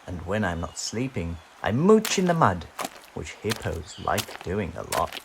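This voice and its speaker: A man's voice: low-pitched, very animated, a little slow, slight background noise, heavily acted. A man voicing a big, happy, lazy hippo from a children's storybook, with a contented drawl.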